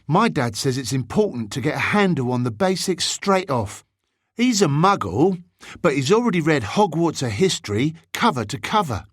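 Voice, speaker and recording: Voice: medium pitch, very animated, moderate pace, breathy edge, no room sound, clean studio sound, voice pushed up. A narrator voicing a big, earnest, bookish schoolboy in an audiobook, serious and eager to show what he knows.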